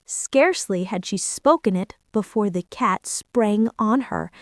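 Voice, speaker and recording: A woman storyteller reading a fairy tale to children in expressive scripted narration. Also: medium pitch, unhurried, no echo, studio quality.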